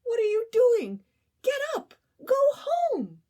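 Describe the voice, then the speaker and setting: A woman's voice: sing-song, unhurried, darkish tone. A woman voicing a prim, anxious male ant, fretting and exclaiming in a raised voice. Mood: alarmed. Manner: high fretful exclaiming.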